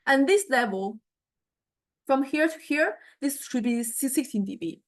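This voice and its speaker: Higher-voiced woman lecturing, thinking aloud. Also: lively intonation. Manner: thinking aloud, halting, self-correcting. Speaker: music technology lecturer. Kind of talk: informal software demonstration lecture.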